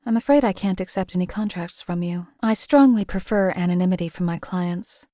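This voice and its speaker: An actress playing a cold, detached female assassin companion in a game, speaking in a calm, clipped, deadpan way. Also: lowish voice, fairly quick, no room sound, clean studio sound, lightly acted. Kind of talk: game voice lines.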